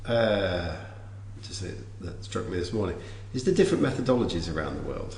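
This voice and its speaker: A man's voice: low voice, big pitch swings, normal speed, a little mellow. A man hosting a casual, reflective interview, thinking aloud as he phrases his questions. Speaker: podcast interviewer and host. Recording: echoey room, faint background hum.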